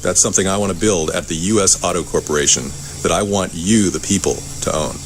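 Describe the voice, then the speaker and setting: A man's voice: low voice, fast talker, a little hiss or hum. A political candidate, a man, upbeat and showing off something outdoors in a campaign video.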